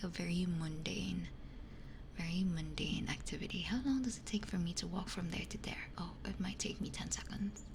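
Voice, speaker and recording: A woman whispering softly and unhurriedly in casual ASMR chat, musing in short phrases close to a binaural microphone. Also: mid-range voice. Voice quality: breathy whisper. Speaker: ASMR livestreamer. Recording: close-miked with faint background noise.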